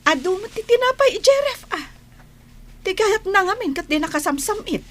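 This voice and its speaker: Radio drama: touchy Ilocano-accented housewife, high and very animated, defensive edge. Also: female voice, normal speed, slight background noise, full character voice. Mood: curt. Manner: snappish, defensive. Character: irritable, evasive wife and mother. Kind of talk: Filipino radio drama acting.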